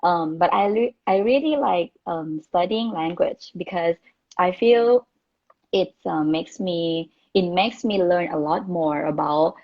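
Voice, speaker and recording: A woman's voice: on the low side, takes it slowly, dull audio. A young woman from Thailand, not an English speaker, chatting casually as a guest with plenty of fillers. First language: Thai. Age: young adult. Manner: chatty, relaxed, many fillers. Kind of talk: casual chat.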